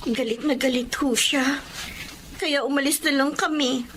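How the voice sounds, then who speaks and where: A woman's voice: sits high, fairly even intonation, a little slow, fairly bright tone, slight background noise. A woman in a radio drama playing a sorrowful young daughter.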